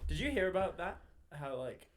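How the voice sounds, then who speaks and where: A man's voice: high voice, very animated, moderate pace. A man hosting a podcast in casual banter, teasing and informal, swearing freely. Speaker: podcast host.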